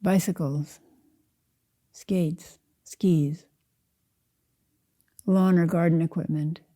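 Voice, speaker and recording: An older woman guides a meditation in a low, very soft-edged and dark voice. She is calm and measured, setting out short phrases one by one without hurry. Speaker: Zen teacher leading a guided meditation.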